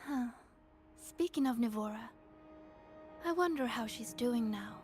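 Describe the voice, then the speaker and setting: A woman voicing a sweet, excitable young female student in a video game, speaking softly and earnestly. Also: a little high, unhurried, faint music behind. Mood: gentle, wistful.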